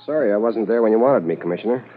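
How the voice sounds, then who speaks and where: An actor playing a dutiful, practical detective corporal in a 1950s radio drama, thoughtful and matter-of-fact. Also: male voice, on the low side, lively intonation, rapid-fire, over loud music, natural voice. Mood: deferential, thoughtful. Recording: noisy, narrow old radio recording.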